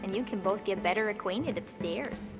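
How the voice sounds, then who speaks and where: An actress playing a flirtatious, welcoming brothel hostess of the 1930s in a video game, with an inviting manner. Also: female voice, medium pitch, normal speed, music in the background, close to an everyday voice. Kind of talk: cinematic video game dialogue.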